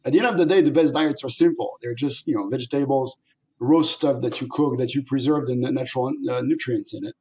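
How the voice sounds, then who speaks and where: A man's voice: medium pitch, a little fast, low-quality recording. A middle-aged man from France with a French accent, a company founder talking animatedly in a casual interview and laughing often. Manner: animated, laughs often, acts out quoted voices.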